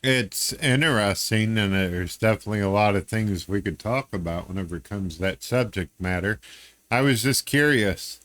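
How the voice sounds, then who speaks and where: A man from the United States with a fairly low voice talks casually in a laid-back way, slipping in dry, sarcastic jokes. The recording is close and dry. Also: normal speed, fairly bright tone, no room sound. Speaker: podcast host. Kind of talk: casual conversation.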